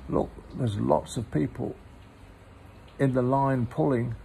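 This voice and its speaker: A man reading a children's story aloud in a measured way with pauses and informal asides. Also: medium pitch, steady tone, gravelly, mellow, a little hiss or hum. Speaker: storybook reader.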